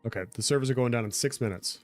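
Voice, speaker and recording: A man's voice: medium pitch, racing, soft background music. A man chatting casually on a gameplay livestream, answering viewer comments as he plays. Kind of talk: casual gameplay stream chat.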